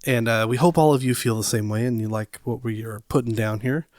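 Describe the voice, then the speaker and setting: Laid-back male co-host, mid-pitched, a bit stuffy, dry close sound. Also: steady tone, brisk, darkish tone, dry recording. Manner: easygoing, joking, filler-heavy. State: slightly stuffy from a cold. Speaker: podcast co-host. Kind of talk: casual chat.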